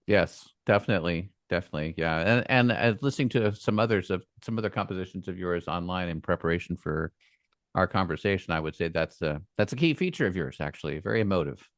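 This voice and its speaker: Relaxed man hosting a podcast interview. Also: low-pitched, fast talker, no echo. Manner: relaxed, encouraging, chatty with short affirmations. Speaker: podcast host. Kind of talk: conversational interview. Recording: dry close sound, faint music behind.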